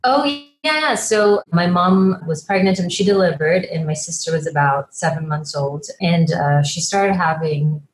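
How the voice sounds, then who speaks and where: A low-voiced woman from Guyana, a fertility doctor, speaks as a podcast guest. She talks in a measured, storytelling way, with frequent fillers. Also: poor audio. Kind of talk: podcast interview.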